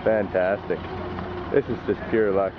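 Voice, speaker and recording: A man's voice: low voice, steady tone, measured pace. A man with a Canadian accent chatting casually and slangily outdoors as he films on a handheld camera. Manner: laid-back, slangy, often ends with "eh". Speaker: amateur vlogger behind the camera. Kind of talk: casual chat. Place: outdoors in a parking lot. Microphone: handheld camera microphone. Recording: muffled camcorder sound, loud outdoor vehicle noise.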